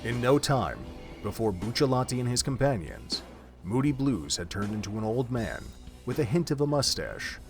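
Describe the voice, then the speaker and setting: A man narrating an audio drama in a measured, literary storytelling style. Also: medium pitch, big pitch swings. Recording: background music under the voice.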